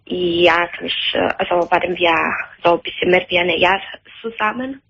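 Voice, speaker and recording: An Italian woman in her thirties calling a radio show, speaking German hesitantly with an Italian accent and many pauses. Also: fairly low pitch, vocal fry, background noise. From Italy. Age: 30s. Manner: hesitant, halting with many fillers. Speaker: caller. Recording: dull phone-in radio sound.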